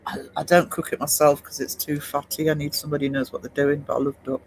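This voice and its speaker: Chatty man with a high voice. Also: little pitch movement, fast talker, poor audio. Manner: chatty, rambling, many fillers and restarts. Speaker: art livestream host. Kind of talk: casual chat reading live comments.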